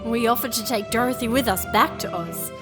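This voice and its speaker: Woman as young fairytale princess, audio drama, highish, earnest, measured, clear music bed. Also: natural voice. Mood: calm, storytelling. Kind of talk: audio drama acting and narration.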